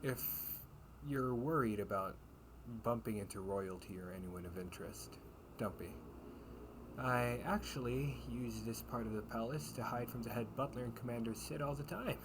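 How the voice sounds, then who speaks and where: Over clear background noise comes a man acting as a male knight captain in an audio roleplay. He takes his time, phrasing things in a courtly, old-fashioned way. His manner is that of a kindly mentor who gently teases his young trainees. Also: medium pitch, lightly acted. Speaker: amateur audio roleplay performer. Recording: clearly noisy home recording with ambience.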